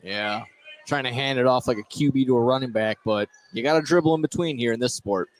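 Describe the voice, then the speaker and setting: A man's voice: medium pitch, brisk, low-quality recording. An American man giving colour commentary during a live basketball game. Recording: clear gym noise behind voice. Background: sports venue ambience.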